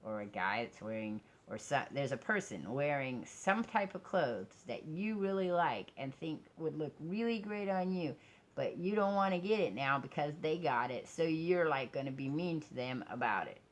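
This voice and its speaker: A woman's voice: sits low, lively intonation, moderate pace. A woman vlogger talking casually and candidly, in an informal, rambling way that drifts from one thought to the next. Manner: rambling, digressive, often trailing off and changing track. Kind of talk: casual rambling personal vlog talk.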